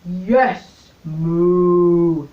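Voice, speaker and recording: A woman's voice: fairly low pitch, extremely slow, voice pushed down. Woman doing a mooing, cheerful storybook cow. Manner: moos between words. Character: jolly cow, gushing and cheerful. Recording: roomy, distant, dull lo-fi recording.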